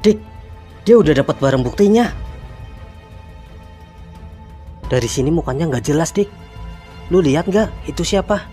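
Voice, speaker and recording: A man's voice: on the high side, animated, fairly quick, dark tone, close to an everyday voice. Man voicing a slangy young sidekick, music behind. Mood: urgent. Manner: casual, slangy, questioning. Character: young man, nervous inquisitive sidekick, amateur sleuth. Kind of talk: Indonesian cartoon dialogue, colloquial.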